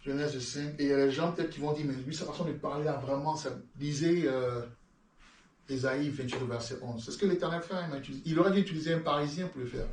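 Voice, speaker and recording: Emphatic French preacher in an echoey room. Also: male voice, on the high side, fairly even intonation, quick pace, darkish tone, distant mic, a little hiss or hum. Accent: French with a London-influenced lilt. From France. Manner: repeats words, stammering restarts, rising emphatic peaks. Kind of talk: sermon with Bible reading.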